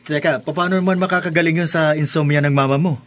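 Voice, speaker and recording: An actor playing a concerned, practical Filipino husband in a radio drama, asking questions conversationally. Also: male voice, on the high side, fairly even intonation, fast talker, a bit bright, lightly acted. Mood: concerned, puzzled. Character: concerned, practical adult husband and son-in-law.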